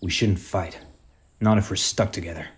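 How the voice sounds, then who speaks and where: A man's voice: sits low, steady tone, normal speed, natural voice. An actor playing a wounded, sarcastic superhero dad, a man, in a radio play. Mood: strained, wry.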